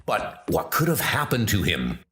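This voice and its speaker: A man narrating a comic adventure in a deliberate, portentous style. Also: fairly low pitch, wildly swooping pitch, bright, forceful tone, a little hiss or hum. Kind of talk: dramatic scripted narration.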